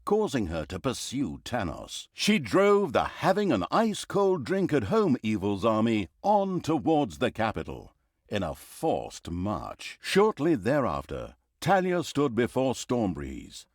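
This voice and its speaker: A man playing a wry, mocking storyteller who narrates a dark fantasy comedy game in a theatrical way. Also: medium pitch, huge pitch swings, a little slow, dry recording, studio quality, heavily acted. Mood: wry, dramatic.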